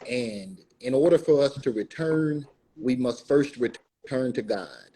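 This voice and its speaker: A man speaking as an actor and interview guest, making earnest promotional remarks in formal, measured phrases. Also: medium pitch, expressive, mellow, breathy edge, rough recording.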